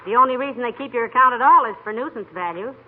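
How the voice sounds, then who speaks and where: A woman's voice: on the high side, fast, lightly acted. A woman with an American accent playing a patient, teasing middle-aged wife with dry wit in an old radio comedy. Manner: gently chiding, dry teasing. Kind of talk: 1940s radio comedy acting. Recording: tinny, noisy old-time radio recording.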